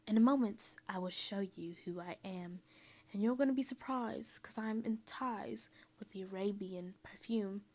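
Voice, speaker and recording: A woman voicing a creepy, secretive male stranger in a hushed, breathy whisper. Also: medium pitch, moderate pace, lightly acted.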